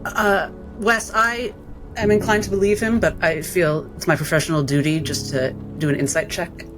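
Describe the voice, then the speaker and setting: A woman's voice: lowish voice, steady tone, normal speed. A woman playing a tabletop role-playing game, narrating what her character does. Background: music.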